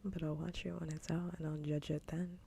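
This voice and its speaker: A woman speaking softly and breathily, often whispering, in a casual ASMR livestream chat close to the microphone. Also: sits low, flat delivery, vocal fry, a little hiss or hum. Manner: hushed and unhurried. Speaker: VTuber streamer.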